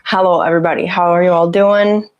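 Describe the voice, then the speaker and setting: A woman with a raspy voice, sick with a cough, chatting casually on a livestream from her home office on a lapel mic and greeting viewers by name. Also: fairly low pitch, fairly even intonation, fast, lo-fi sound. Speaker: livestream host. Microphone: lapel mic on her shirt.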